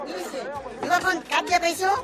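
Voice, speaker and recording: A woman's voice: very high voice, steady tone, a little fast, a crowd in the background. A woman with a Réunion Creole accent playing a polite, eager alien hosting humans in a comic radio serial. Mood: welcoming.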